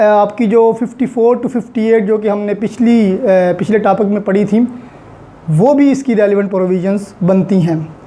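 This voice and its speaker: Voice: mid-range voice, dark tone. A lecturer with a Pakistani accent, explaining law methodically, step by step with examples, mixing in English terms. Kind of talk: explanatory lesson, Urdu mixed with English legal terms.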